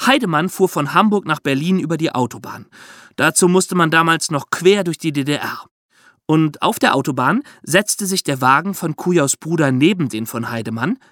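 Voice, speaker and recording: Animated, higher-pitched man telling true crime history. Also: moderate pace, no echo, clean studio sound. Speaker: podcast host explaining true crime history. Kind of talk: conversational storytelling, explanatory.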